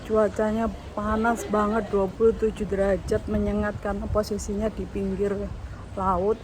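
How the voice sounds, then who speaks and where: Female travel vlogger, Indonesian accent, casual and fairly flat delivery, recorded amid heavy ambient noise. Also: mid-range voice, moderate pace. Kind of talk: casual travel vlog narration. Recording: noisy urban ambience with traffic.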